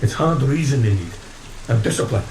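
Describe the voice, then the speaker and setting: An actor playing a stern, rational first mate in a gothic audio drama, insistent and scornful. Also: male voice, medium pitch, lively intonation, brisk, gravelly, slight background noise. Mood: stern, dismissive. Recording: echoey room, loud rain and thunder.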